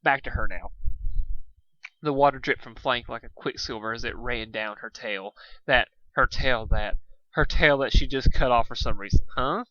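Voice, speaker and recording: A man's voice: mid-range voice, normal speed, sharp tone, no room sound, lo-fi sound. A man reading fan fiction aloud in an informal storybook style, stumbling over words and repeating phrases as he goes. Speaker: amateur fan fiction reader. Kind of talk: informal storybook narration with asides.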